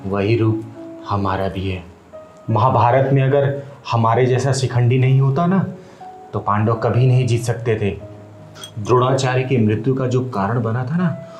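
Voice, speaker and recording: A man's voice: mid-range voice, a little mellow, close to an everyday voice. A man playing a pleading transgender elder. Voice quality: earnest, pleading, preachy. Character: aggrieved wise transgender elder. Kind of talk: melodramatic web drama. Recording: roomy echo with faint music.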